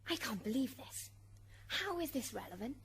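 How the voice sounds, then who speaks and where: Woman acting a shrill, indignant mother, wildly expressive. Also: moderate pace, a little hiss or hum. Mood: exasperated. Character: indignant, exasperated dead mother on trial. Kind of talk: amateur student radio drama acting.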